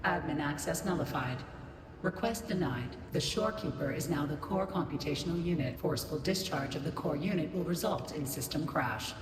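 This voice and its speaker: A woman's voice: low voice, animated, moderate pace. A woman voicing a cold, emotionless computer system in a video game, speaking in formal, clipped announcements. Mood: impassive. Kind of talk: scripted video game voice acting. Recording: echoey reverberant hall, loud background noise.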